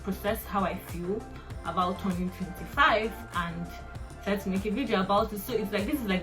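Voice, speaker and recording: A woman's voice: medium pitch, a bit bright. Chatty young Nigerian woman vlogger. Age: 20s. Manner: chatty, rambling, laughs often. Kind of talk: casual personal vlog.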